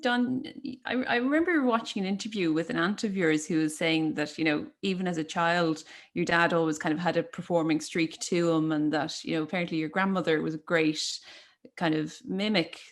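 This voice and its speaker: A woman's voice: lowish voice, brisk. A woman hosting a conversation, putting questions to her guests in a conversational style. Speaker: host, interviewer. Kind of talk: conversational interviewing.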